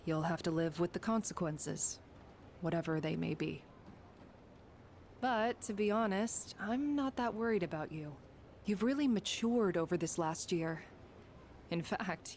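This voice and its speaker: An actress playing a frank, caring female mentor in a video game, speaking in a measured, direct and earnest way. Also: on the low side, darkish tone, slight background noise. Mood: fond. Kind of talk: dramatic video game voice acting.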